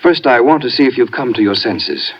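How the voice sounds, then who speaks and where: A middle-aged man playing a sinister gray-haired doctor in a 1950s radio drama, measured, formal and falsely genteel. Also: mid-range voice, sing-song. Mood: condescending menace. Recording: muffled vintage 1950s radio recording.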